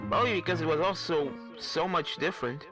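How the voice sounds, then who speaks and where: A man's voice: on the high side, brisk, music in the background, lightly acted. A man voicing a caring, learned pony professor in a fan fiction reading, earnest and given to explaining. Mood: thoughtful. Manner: earnest, explanatory, stammers when flustered.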